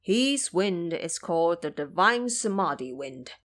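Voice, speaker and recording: A woman's voice: fairly low pitch, very animated, unhurried, fairly bright tone, pitched below the performer's own voice. A woman voicing an elderly male farmer, a courteous and solemn host, in an audio drama. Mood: grave.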